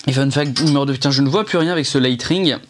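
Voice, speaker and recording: A man's voice: mid-range voice, quick pace, slight background noise. A young man giving a casual, rambling film review, chatty and full of fillers and restarts, speaking indoors to a camera under a ring light.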